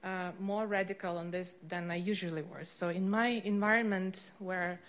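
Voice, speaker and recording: A woman's voice: lowish voice, steady tone, moderate pace. Hesitant Ukrainian woman asking a question. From western Ukraine, Lviv. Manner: careful, hesitant, with many fillers. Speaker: audience member asking a question. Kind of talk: formal audience question.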